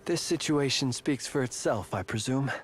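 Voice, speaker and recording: Male actor as courteous game warrior, weary, eloquent archaic phrasing, medium-pitched, faint music behind. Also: normal speed, lightly acted. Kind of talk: video game voice acting.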